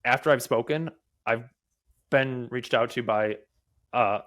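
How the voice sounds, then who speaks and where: A young man who stutters, answering interview questions candidly as a podcast guest, with halting restarts, repeated words and many fillers. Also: mid-range voice, a bit bright. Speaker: podcast guest, mobile developer and conference speaker.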